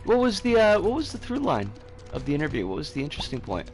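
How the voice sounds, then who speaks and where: A man with a scratchy, hoarse voice from an oncoming cold, chatting casually and opinionatedly with some swearing as he streams a game. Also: a little high, huge pitch swings, fast talker, over music. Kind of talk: casual live game commentary and chat.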